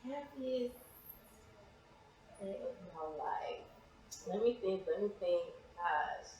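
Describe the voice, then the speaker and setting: A woman's voice: medium pitch, expressive, slow, a little mellow. A woman speaking casually as an interview guest, reflective and a little hesitant, repeating phrases for emphasis. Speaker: interview guest, playwright and actress. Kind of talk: casual personal conversation. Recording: very echoey, reverberant room.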